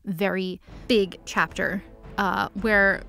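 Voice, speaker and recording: A woman's voice: mid-range voice, steady tone, slow pace, creaky, pushed and bright, soft background music. A woman giving a casual, comedic recap of a story in slangy language and acting out the dialogue. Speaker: co-host recapping a story series.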